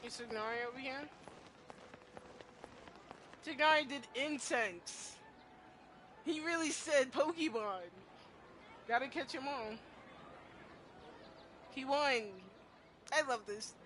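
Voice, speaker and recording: A woman's voice: high-pitched, slow, long pauses, a bit bright, a little hiss or hum. A woman giving casual, rambling, fannish commentary as she streams a game playthrough, with plenty of slang. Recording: game music behind.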